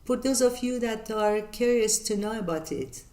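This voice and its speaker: An older woman from northern Iran with a Persian accent, hosting her channel and answering viewer questions in an earnest, measured way with many pauses. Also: on the high side, a little mellow, reverberant. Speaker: channel host. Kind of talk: reflective Q&A monologue.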